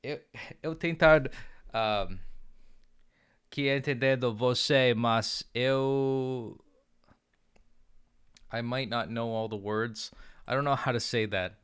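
A young man chatting casually on a live stream, jokingly boastful, reading chat aloud and trying out halting beginner Portuguese in a small home room on a close studio mic. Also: mid-range voice, a bit bright, clean tone, dry recording. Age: young adult. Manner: jokey, playfully boastful, mixes in halting learner Portuguese. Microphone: studio mic on arm with headphones.

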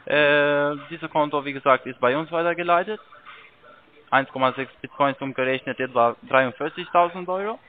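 A man making a scam phone call, halting with ums and broken grammar. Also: on the high side. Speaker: scam caller. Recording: tinny phone line.

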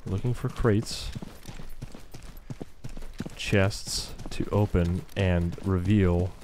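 A man's voice: deep voice, fairly even intonation, extremely slow, a little mellow, a little hiss or hum. A man doing casual, sweary gameplay commentary, muttering to himself as he plays. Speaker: gamer, video host.

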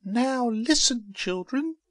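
A man's voice: very high voice, animated, slow pace, no room sound, clean studio sound, pitched above the performer's own voice, full character voice. A man voicing a dainty, dramatic young female seed in a children's storybook, plaintive and exclamatory. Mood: motherly.

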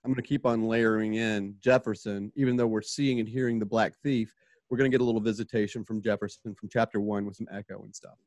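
A man's voice: on the low side, steady tone, fast talker, darkish tone, no room sound. A man narrating a radio play, casually describing the action and sound effects as he goes. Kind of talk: casual live narration of stage directions and sound cues. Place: home, remote video call.

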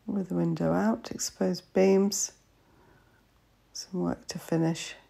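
A woman's voice: on the low side, fairly even intonation, slow, gravelly, dark tone, husky. A woman estate agent narrating a walkthrough of a house, listing its features matter-of-factly in short phrases. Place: inside an old house.

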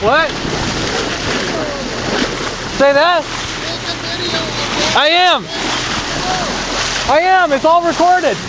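A man with a strikingly high voice narrates his own boat trip, eager and chatty, cooing at the dolphins around him. He is outdoors on a small sailboat at sea, and his camera's built-in mic is buried under a loud roar of wind. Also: drawn-out words, pauses often. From Phoenix, Arizona, US. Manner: excited, coos and calls out to dolphins. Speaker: amateur sailor filming. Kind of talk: excited home-video narration. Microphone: handheld camera mic.

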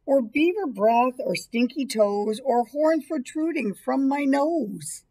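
Higher-pitched, slightly husky woman reading a storybook. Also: lively intonation, slow pace, mellow. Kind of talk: children's storybook read-aloud. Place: small indoor school room or library.

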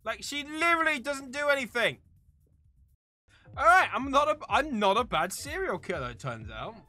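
A man doing excitable live gameplay commentary, exclaiming theatrically and talking to his chat. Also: extremely high pitch, moderate pace. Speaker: video game streamer. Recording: game music behind.

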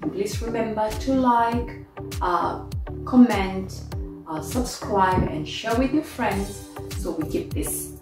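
A middle-aged woman narrating a casual home vlog in a halting, hesitant way, pausing often. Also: mid-range voice, over music. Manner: hesitant, halting delivery with frequent pauses. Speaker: home renovation vlogger.

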